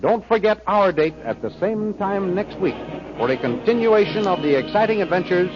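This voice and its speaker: A man's voice: high voice, expressive, moderate pace. A man announcing and narrating a 1930s radio serial in a formal, dramatic style. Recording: muffled old-time radio recording. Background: music.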